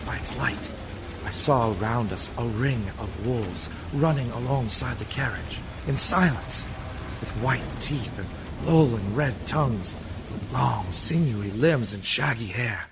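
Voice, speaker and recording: A man playing an earnest, uneasy young lawyer's clerk in a radio play, grave and dramatic, with a gravelly creak. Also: medium pitch, expressive, a little slow. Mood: mounting unease. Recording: noisy background behind voice.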